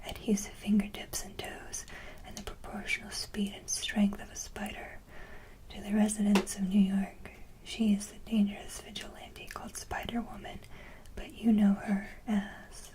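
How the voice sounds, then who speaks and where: A woman host giving an ASMR show-and-tell in a soft, breathy whisper, with a gentle, halting delivery. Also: medium pitch, poor audio.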